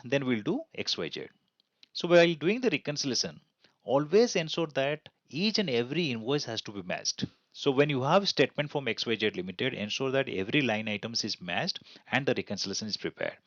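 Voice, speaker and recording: Man, Indian accent, mid-pitched voice, didactic tutorial delivery, question-and-answer phrasing, lo-fi close recording. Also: fairly bright tone, no room sound. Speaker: accounting instructor. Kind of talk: explanatory tutorial over slides.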